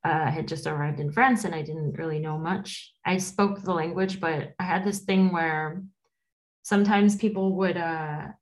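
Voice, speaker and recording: A woman's voice: lowish voice, steady tone, normal speed, poor audio. Chatty trilingual woman guest. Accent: light Latin accent. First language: other than English. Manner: chatty, laughs often, repeats words as she talks. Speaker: podcast studio CEO guest. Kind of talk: conversational interview answers.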